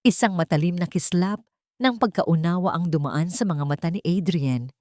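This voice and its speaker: Female storyteller, scripted dramatic narration, lowish pitch, close dry sound. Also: normal speed, dark tone, no room sound.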